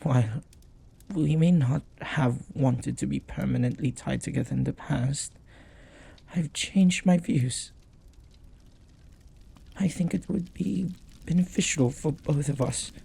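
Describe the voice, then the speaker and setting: Man acting a husky, possessive lovestruck demon. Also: on the high side, dark tone, full character voice. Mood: persuasive, earnest. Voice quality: husky, breathy, often dropping to a whisper. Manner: slow, deliberate, dramatic pauses. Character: possessive lovestruck male demon, jealous, intense, devoted. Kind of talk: intimate audio roleplay acting.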